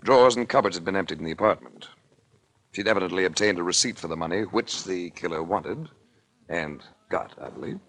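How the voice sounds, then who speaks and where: An American actor playing a cool, wry investigator in a 1940s radio crime drama, with an unflappable, dryly sardonic manner. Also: male voice, low-pitched, brisk, a bit bright, slight background noise, low-quality recording. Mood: matter-of-fact. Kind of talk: 1940s radio detective acting. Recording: vintage 1940s radio recording.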